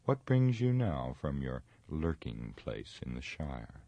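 A very deep-voiced, haughty wizard played by a male narrator for a fantasy audiobook. He is grave and scornful, stretching out his mockery with pompous menace, in a dry, close recording. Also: very animated, dry recording, highs cut off, heavily acted. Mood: cold, accusing. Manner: sneering, pompous, drawn-out mocking delivery. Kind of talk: dramatic audiobook reading of fantasy.

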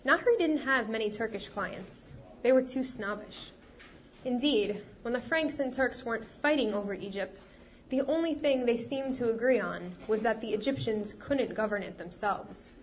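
A woman author reading her novel aloud at a live event, steady and expressive, her voice a little off while she gets over a cold. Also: on the high side, moderate pace, slight background noise. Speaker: fantasy author.

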